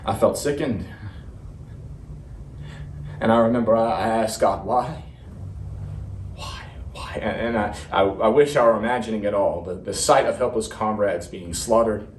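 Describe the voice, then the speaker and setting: A man playing a haunted young Marine veteran, telling of war in a halting, stammering dramatic monologue with heavy pauses. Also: lowish voice, lightly acted. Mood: horrified, haunted. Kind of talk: serious dramatic interpretation monologue. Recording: roomy, distant mic, faint noise.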